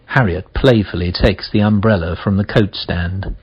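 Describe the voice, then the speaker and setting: Low, husky male stage-direction narrator. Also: normal speed, lo-fi sound.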